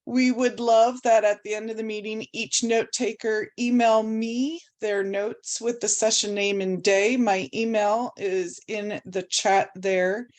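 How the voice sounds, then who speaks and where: A woman's voice: medium pitch, fairly even intonation, unhurried, few pauses, a little mellow. A woman organizing an online meeting, giving participants friendly instructions about breakout rooms.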